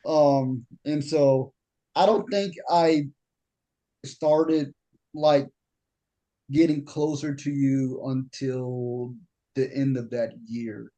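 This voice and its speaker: A young man with a deep voice hosting a casual podcast chat, often stammering and repeating words as he tells stories. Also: steady tone, drawn-out words, long pauses, warm tone. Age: young adult. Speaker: podcast host.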